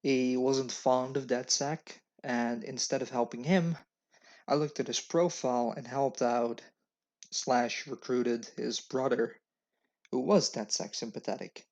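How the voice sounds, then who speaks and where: A man's voice: mid-range voice, measured pace, darkish tone. Wry non-native man reviewing games. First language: not English. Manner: opinionated, wry, matter-of-fact. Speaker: game reviewer and channel host. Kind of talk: scripted game review commentary. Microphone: webcam. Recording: dull lo-fi webcam audio.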